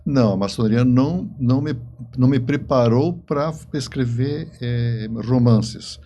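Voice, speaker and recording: A man in his seventies with a Brazilian accent answering interview questions conversationally, in a halting way that repeats words. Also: medium pitch, warm tone. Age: 70s. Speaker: interview guest, writer and engineer.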